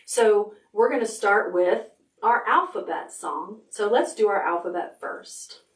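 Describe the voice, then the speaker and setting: A woman's voice: mid-range voice, animated. Woman, children's librarian, upbeat and encouraging storytime read-aloud; distant camera mic in an echoey room. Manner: cheerful, slow and encouraging, talking to small children. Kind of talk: preschool storytime, reading aloud and counting with kids. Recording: roomy sound from away from the camera mic.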